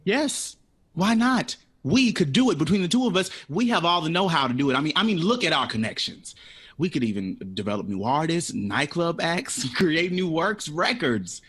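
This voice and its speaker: An actor in an audio drama playing a witty, dreamy jazz composer who talks with playful, dramatic flourishes. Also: male voice, on the high side, very animated, moderate pace, a bit bright, husky, exaggerated voice. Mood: determined, dreamy. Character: witty, dreamy, theatrical male jazz composer, eager for independence.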